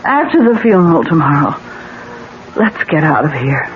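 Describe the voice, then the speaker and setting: A woman's voice: sits low, big pitch swings, normal speed. A woman acting in a 1970s radio drama, playing an anxious, pleading wife with an earnest delivery. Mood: weary, pleading. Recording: muffled old radio broadcast, faint noise.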